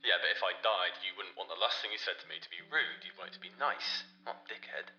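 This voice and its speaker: A man's voice: sits low, fast, pushed and bright, reverberant, muffled recording, soft background music, full character voice. A man playing an earnest, sentimental young man in a naturalistic radio play, colloquial and insistent.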